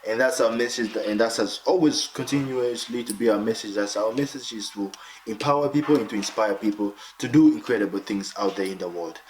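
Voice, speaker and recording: A man's voice: medium pitch, rough recording. Enthusiastic male host, faint background noise. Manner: enthusiastic and repetitive, keeps saying "incredible". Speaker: show host. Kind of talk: show introduction and interview.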